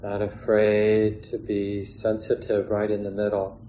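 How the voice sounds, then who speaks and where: A man guides a meditation in a calm, measured way, leaving long pauses between phrases. His voice is on the low side and stays very level. The room adds a clear echo around his words. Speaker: meditation teacher. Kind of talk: guided meditation, unscripted instruction. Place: meditation hall or large room. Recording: roomy echo, faint background noise.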